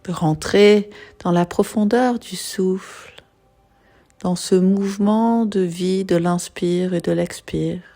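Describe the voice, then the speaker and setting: A woman guiding a yoga nidra meditation in a soothing, unhurried manner, leaving long pauses. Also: on the low side, steady tone, dark tone. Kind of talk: guided meditation.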